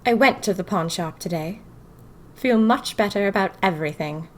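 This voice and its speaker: A woman playing a whimsical young 1920s typist who confides in her diary, narrating audio fiction in a wry, expressive way with dramatic pauses. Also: medium pitch, slight background noise, close to an everyday voice. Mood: confiding, enchanted.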